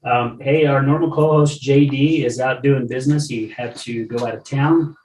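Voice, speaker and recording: A man's voice: mid-range voice, fairly even intonation, moderate pace, a little mellow. A man hosts a recovery podcast in an easy, enthusiastic way, laughing and chatting freely. His mid-range voice sits back from the mic in a roomy space, with a faint hiss behind it. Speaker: podcast host. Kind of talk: casual chat. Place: room with a live audience. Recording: roomy, from a distance, faint noise.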